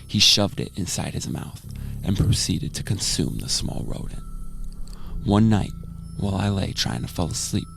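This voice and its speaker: A man playing a grim, haunted researcher who narrates a horror radio drama in a measured, brooding way. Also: deep voice, gravelly, full character voice. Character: grim male experiment researcher recounting horrors, cold and haunted. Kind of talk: dramatic first-person scripted narration.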